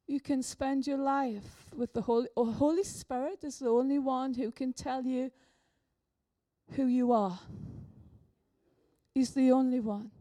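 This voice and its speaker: An older woman preaching a sermon, a little hoarse from a cold, effusive and exclamatory. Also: sits high, fairly even intonation, unhurried, mellow.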